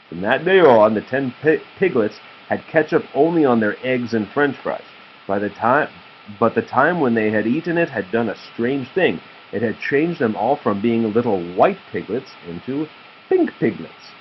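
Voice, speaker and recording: A man reading a children's story aloud as a father to his child, with a patient manner. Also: medium pitch. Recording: slightly muffled, faint background noise.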